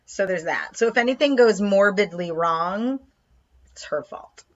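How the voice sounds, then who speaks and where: A woman comedian co-hosting a casual live chat, animated and talkative, often saying "like". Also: mid-range voice, measured pace, muffled recording. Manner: chatty, animated, lots of "like". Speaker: comedian co-host.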